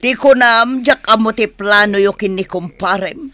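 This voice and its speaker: A woman's voice: mid-range voice, moderate pace, bright, forceful tone, no echo, close to an everyday voice. A woman playing a nagging, suspicious wife, scolding insistently in an Ilocano radio drama.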